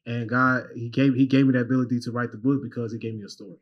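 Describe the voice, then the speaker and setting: A man in his twenties tells his story quickly and with energy, circling back and repeating words as he goes. The sound is a little dull and lo-fi, and his pitch sits in an ordinary middle range. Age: 20s. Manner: animated, repeats and restarts often. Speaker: guest minister. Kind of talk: casual interview talk.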